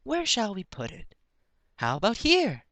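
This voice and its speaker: A man's voice: sits high, wildly swooping pitch, measured pace, bright, forceful tone, dry recording, voice pushed up, exaggerated voice. A man voicing a sweet, doting mother pony who coos to her baby in a story reading. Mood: delighted, cooing. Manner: cooing, sing-song motherly talk.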